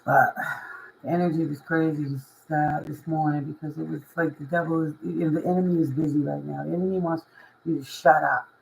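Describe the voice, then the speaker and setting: A woman with a hoarse, raspy voice, talking to camera as a spiritual reader and life coach in a casual motivational monologue, emphatic and repetitive, often asking "you know what I mean". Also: sits low, fairly even intonation, fairly quick, a little hiss or hum, poor audio.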